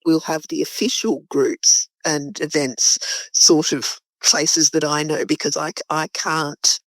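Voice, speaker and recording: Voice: medium pitch, flat delivery, unhurried, no echo, rough recording. A group founder talking casually in an interview, in a hesitant, thoughtful way.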